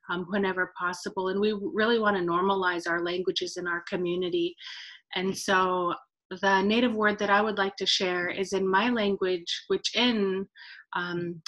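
A woman's voice: medium pitch, a bit bright. Woman hosting, with an easy middling voice. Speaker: event host. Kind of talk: unscripted welcome on an online event. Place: indoor room, video call.

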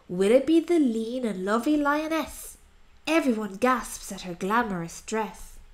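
A woman narrates a rhyming picture book for children. Her voice is high and very animated, and she takes her time, leaning on key words for dramatic effect. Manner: expressive, dramatic emphasis. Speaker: storybook narrator.

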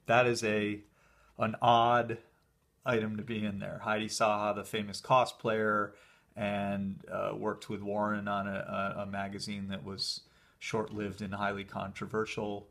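A man casually talking through an old convention program as he leafs through it, relaxed and enthusiastic, with small fillers and pauses, recorded indoors by a camera filming his hands from above. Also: fairly low pitch. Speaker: collector showing a convention program. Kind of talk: casual flip-through commentary.

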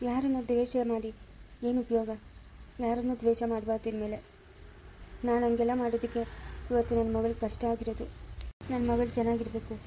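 Woman playing a tearful, remorseful father, high-pitched. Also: dark tone, a little hiss or hum, lightly acted, low-quality recording. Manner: plaintive, repetitive, lamenting. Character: remorseful, weeping father who pleads for forgiveness.

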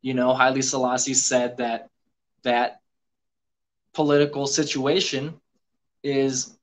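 Man guest on a call, earnest explainer, steady mid pitch, faint room hum. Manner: earnest, explanatory, quick with many fillers. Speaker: guest on a live video call. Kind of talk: casual discussion.

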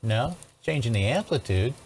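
An older man in his sixties hosts a hands-on technical walkthrough. His pitch sits in the middle range for a man. He explains step by step in a casual, methodical way, often pausing to check in. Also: animated, a little mellow, slight background noise. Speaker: host, electronics restorer. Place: indoor workshop studio at a workbench.

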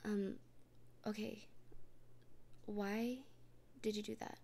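A woman in a soft, gently reassuring comfort roleplay, often speaking in a whisper. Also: medium pitch, flat delivery, slow. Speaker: roleplay performer speaking as a partner.

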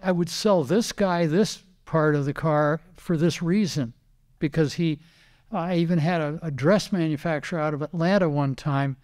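An older American man speaks as an interview guest, looking back on his career. His voice sits a little high for a man. He talks casually in anecdotes, often playing out bits of remembered dialogue. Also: normal speed, darkish tone. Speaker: retired racing driver and team owner, interview guest.

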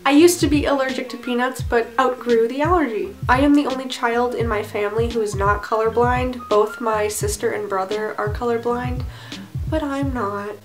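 A woman's voice: a little high, fairly even intonation, normal speed, music in the background. A teenage girl vlogging to camera from her bedroom, chatting and rambling in a self-deprecating way. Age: teens. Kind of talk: casual chat to camera. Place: bedroom, indoors.

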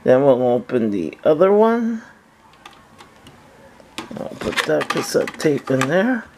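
A man giving a casual, halting product demonstration as a seller, often repeating himself, recorded indoors on a handheld camera. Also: a little high, sing-song, noisy background. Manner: halting, repetitive, plain-spoken. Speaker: online seller demonstrating an item. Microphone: handheld camera, speaker off screen behind it.

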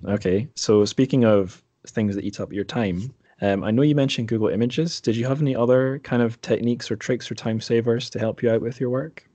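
A man's voice: medium pitch, a little fast, few pauses, dark tone, dry recording. A man hosting a podcast interview in a casual, conversational way. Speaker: podcast host.

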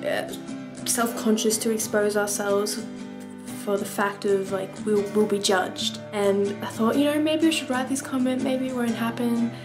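A woman's voice: on the high side, fairly even intonation, unhurried. A young woman speaking casually and a little hesitantly in a vlog. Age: young adult. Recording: music under the voice.

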